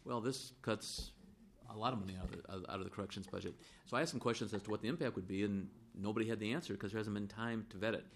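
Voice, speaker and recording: A middle-aged man with a hoarse, roughened voice and a fairly low pitch speaks in a legislative chamber. He talks deliberately and builds on a colleague's point, with a faint room hum behind him. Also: fairly even intonation. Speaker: state senator. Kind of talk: legislative floor debate.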